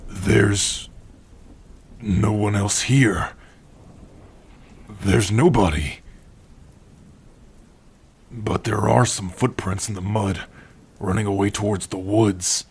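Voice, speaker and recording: A man plays a protective orc in an intimate ASMR roleplay. His voice is lowish and extremely breathy and husky. He speaks slowly and haltingly, with a tender, worried softness. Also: fairly even intonation, exaggerated voice. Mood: tender, puzzled. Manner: soft, halting, hushed, intimate.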